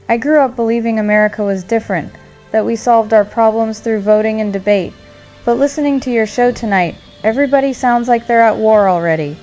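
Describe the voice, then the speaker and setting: A woman's voice: a little high, a little fast, darkish tone, soft background music, natural voice. A woman playing a saddened, bewildered mother calling in to a radio show. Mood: sad, bewildered. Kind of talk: radio call-in drama acting.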